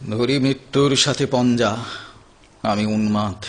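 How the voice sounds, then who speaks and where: An actor playing a despairing, irritable young drug addict in an audio drama, agitated and weary in manner. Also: male voice, mid-range voice, fairly even intonation, slow, lightly acted. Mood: bleak despair. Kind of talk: Bengali audio drama acting.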